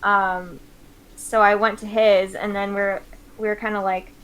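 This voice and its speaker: A woman's voice: mid-range voice, little pitch movement, a little slow, fairly bright tone, clear, resonant tone, low-quality recording. A woman in her twenties chatting casually on her stream while drawing, with plenty of fillers. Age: 20s. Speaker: streamer host.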